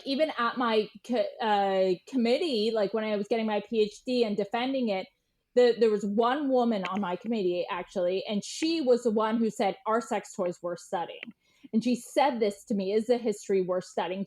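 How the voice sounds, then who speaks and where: A woman's voice: on the high side, a little mellow. A woman speaking as an interview guest, telling stories conversationally in a blunt, casual, sweary way. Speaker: interview guest, writer and historian. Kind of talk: animated conversational storytelling.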